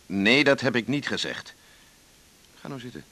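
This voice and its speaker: A man's voice: medium pitch, animated, moderate pace, sharp tone, slight background noise. An actor playing a mildly insistent man in a Dutch radio drama, speaking naturally with an accent from the Netherlands. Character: man introducing an old acquaintance, mildly insistent.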